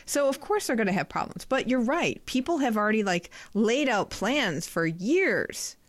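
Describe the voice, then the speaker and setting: A woman co-hosting a sports podcast in casual chat, chatty and quick to laugh. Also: a little high, sing-song, no room sound. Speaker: podcast co-host.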